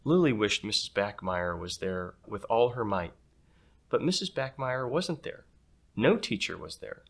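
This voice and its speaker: A man reading a children's story aloud in an expressive, playful way. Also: on the low side, measured pace, creaky. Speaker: storybook reader.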